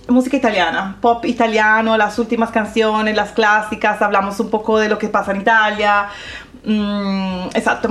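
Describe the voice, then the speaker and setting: A middle-aged woman on a radio show, explaining things steadily with pauses and fillers. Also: mid-range voice, fairly bright tone, reverberant. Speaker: radio show host, interview guest. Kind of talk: conversational radio interview.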